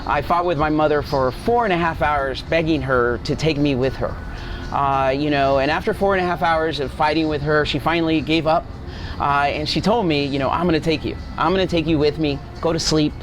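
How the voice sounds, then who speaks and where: A man's voice: a little high, brisk, soft background music, rough recording. A forty-year-old man from Guatemala telling a personal story earnestly as an interview guest, often checking for agreement. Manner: earnest, seeks agreement with tag questions. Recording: outdoor traffic noise. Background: street traffic and vehicles.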